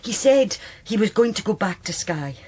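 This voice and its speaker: Husky, anguished Scottish sister. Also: female voice, mid-range voice, animated, warm tone. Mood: distressed, bitter. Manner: halting, agitated, bitter. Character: anguished, brittle sister of a missing man. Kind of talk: naturalistic radio drama acting.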